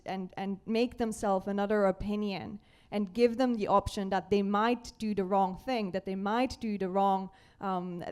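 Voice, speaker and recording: A woman's voice: medium pitch, warm tone, clear, resonant tone. A woman on a conference panel who speaks earnestly and repeats herself as she searches for words.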